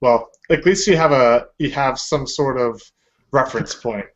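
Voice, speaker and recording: A man's voice: mid-range voice, unhurried. A man hosting a casual podcast, chatty and upbeat, with fillers. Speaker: podcast host. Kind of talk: casual chat. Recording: lo-fi podcast audio.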